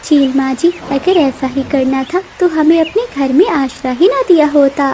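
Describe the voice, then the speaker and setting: A devoted young mother bird from a children's cartoon, voiced by a woman. She is high-pitched and soft-toned, earnest and plaintive, with an anxious temper. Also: animated, moderate pace, slight background noise. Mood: hurt, firm.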